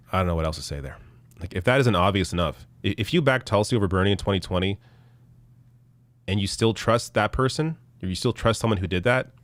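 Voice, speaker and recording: A man in his thirties, hoarse from losing his voice, hosting a casual live political stream with blunt, profane commentary and frequent restarts. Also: deep voice, no echo. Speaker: political show host reading viewer chat.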